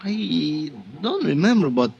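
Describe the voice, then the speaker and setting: An actor playing a sly, languid hotel bellboy with a Spanish accent in a vintage radio drama. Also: male voice, fairly high pitch, big pitch swings, slow, creaky, a little mellow, husky, muffled recording, full character voice. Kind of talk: 1950s radio drama acting.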